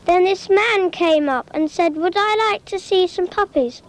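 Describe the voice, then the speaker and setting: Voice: very high-pitched, measured pace. An actor playing an innocent young child who earnestly tells a simple cautionary tale in a cartoon public information film.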